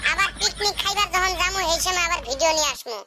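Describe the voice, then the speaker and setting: A man voicing a cheeky young village bachelor in a comedy cartoon, with a northern Bangladeshi accent, a pitched-up effect and a teasing, complaining manner. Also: quick pace, very noisy. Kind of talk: comic cartoon dialogue.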